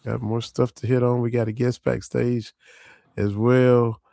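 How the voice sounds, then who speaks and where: Hoarse, gravelly podcast host. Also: male voice, mid-range voice, little pitch movement, a little slow, mellow, dry recording, studio quality. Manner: loose, rambling, repeats himself. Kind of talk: casual sports chat.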